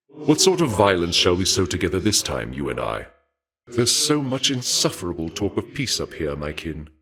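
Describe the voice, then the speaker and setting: A man playing a refined, bloodthirsty god of war in a video game, speaking in a formal, measured, menacingly courteous way. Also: deep voice. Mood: gracious, relishing. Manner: formal, courtly, measured, savouring talk of violence. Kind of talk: theatrical video game character dialogue.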